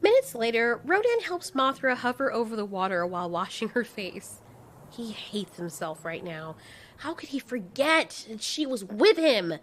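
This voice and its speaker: A woman storyteller reads scripted fiction. Her voice sits fairly high and moves through a very animated range as she narrates expressively. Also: moderate pace.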